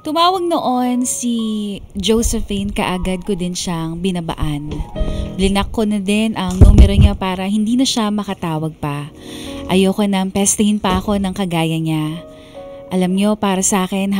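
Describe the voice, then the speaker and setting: An actress plays a young woman narrating her own story for a radio drama. She is reflective and confiding, at a middle pitch, with gentle music behind her. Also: close to an everyday voice.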